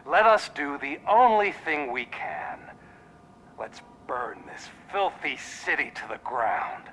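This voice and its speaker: An actor playing a menacing demagogue in a video game, oratorical and deliberate, sliding into breathy whispers. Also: male voice, fairly high pitch, very animated, fairly bright tone, slight background noise, exaggerated voice, rough recording. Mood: rousing, sinister. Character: theatrical male villain, menacing demagogue rousing a city.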